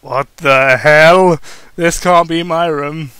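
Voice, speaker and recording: A man voicing a big, cocky, brusque male wrestler with slow, emphatic, snappish delivery in an amateur dramatic reading. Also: a little high, fairly even intonation, pushed and bright, smooth, no room sound. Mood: shocked.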